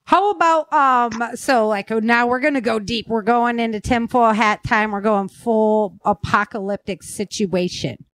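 A woman's voice: a little high, a little slow, few pauses, no echo. An American woman hosting a podcast, chatting informally and thinking aloud as she puts questions to a guest. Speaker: podcast host. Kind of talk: casual interview chat.

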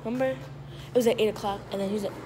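A woman's voice: mid-range voice, steady tone, fast. A young woman chatting casually with a friend for a vlog, using slangy, profane, informal speech. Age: young adult. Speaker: vlogger. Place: outdoors on a beachfront and street. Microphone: handheld phone at arm's length. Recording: loud wind and outdoor street noise.

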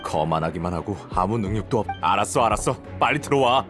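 A man's voice: lowish voice, animated, quick pace, over music, natural voice. A male actor playing a wary, grudging guard of a secret hideout in a video game dub, speaking curtly. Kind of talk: dubbed video game dialogue.

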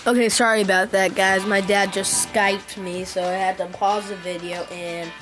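A woman's voice: medium pitch, level tone, normal speed. A woman with a stuffed-up nose hosting casual let's play commentary, hesitant and chatty with lots of ums and self-deprecating groans. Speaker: gaming channel host. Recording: loud game music behind.

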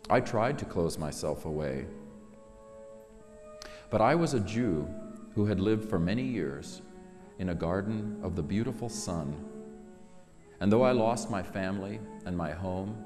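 A man in his fifties giving a solemn, measured poetry reading with dramatic pauses, in a large sanctuary. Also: sits low, expressive. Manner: measured, dramatic pauses between short phrases. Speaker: author reading own work. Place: large synagogue sanctuary, indoors. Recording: long reverberant hall, music behind.

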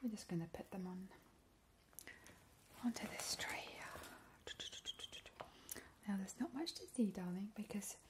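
A woman playing a playful, ghoulish vampire in a whispered ASMR roleplay, breathy and slow, with soothing relish. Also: mid-range voice, natural voice. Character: playful, ghoulish immortal female vampire baking gruesome treats. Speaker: ASMR host in character. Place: home kitchen, indoors. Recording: noticeable background hiss, soft clicks.

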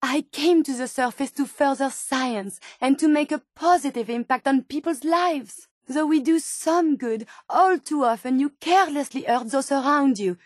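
Woman acting gentle female android scientist, French accent, higher pitch, formal and earnest, video game dialogue, very dry close sound. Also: normal speed, hardly any pauses, no echo, lightly acted. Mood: hurt, upset. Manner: formal, precise, earnest phrasing. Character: gentle, earnest female robot scientist turned synthetic human.

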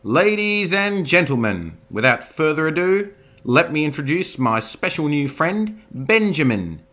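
A man's voice: a little high, very animated, measured pace, a bit bright, pitched above the performer's own voice. A man voicing a theatrical young woman who cheerfully unveils a wolf to a crowd. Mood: gleeful showy. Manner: gleeful, theatrical cooing. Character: eccentric young woman showman with glasses.

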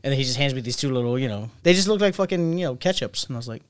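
A man in his thirties co-hosting a podcast, swearing freely and telling stories with lots of energy. His voice sits in an ordinary middle pitch. He is recorded close on a stand mic in a small studio room. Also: racing, over a noisy crowd. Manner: loose, profane, animated storytelling. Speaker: podcast co-host. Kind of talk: casual chat. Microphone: studio mic on stand, headphones.

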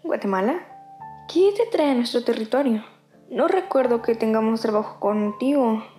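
Woman acting a cartoon country character, higher pitch, roomy echo, comic dub. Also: expressive, normal speed, warm tone, lightly acted. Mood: polite. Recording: roomy echo under music.

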